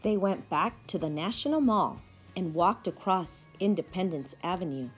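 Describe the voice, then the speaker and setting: A woman teacher reading a children's story aloud in a deliberate, animated storytelling manner. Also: lowish voice, soft background music. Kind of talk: slow expressive children's read-aloud.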